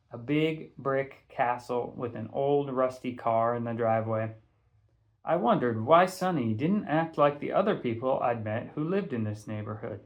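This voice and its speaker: A man narrating a first-person audiobook in a conversational, expressive storytelling manner. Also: medium pitch. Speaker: teacher reading a novel aloud.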